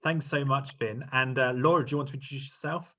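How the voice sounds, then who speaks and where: A man's voice: medium pitch, steady tone, fast, bright, forceful tone. A middle-aged man, a university lecturer, hosting a panel on a video call in a friendly, hesitant way with many fillers. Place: home room. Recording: slightly muffled video call audio.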